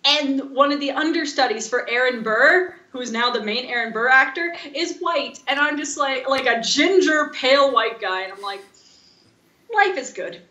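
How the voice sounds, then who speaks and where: A woman chats casually as a podcast co-host. Her voice is fairly high and bright, and the recording has a noticeable room echo. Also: expressive, normal speed. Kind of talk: casual chat. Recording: echoey room, slightly muffled audio.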